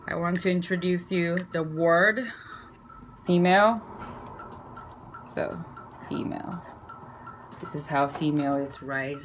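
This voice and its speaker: Slow, halting woman, Chinese native. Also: mid-range voice, fairly bright tone, slight background noise, poor audio. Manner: slow, halting, playful, with fillers and self-corrections. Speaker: video creator. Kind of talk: casual explainer lesson.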